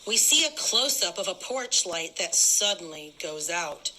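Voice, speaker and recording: A woman's voice: on the low side, sing-song, a little slow, bright, forceful tone, a little hiss or hum, rough recording. A woman reading stage directions aloud as the narrator of a dramatic script.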